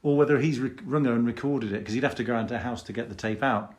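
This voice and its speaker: A man's voice: mid-range voice, very fast. A man co-hosting a podcast, chatting casually, skeptical and hesitant, often trailing off mid-thought. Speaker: podcast co-host. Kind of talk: casual chat reviewing a song.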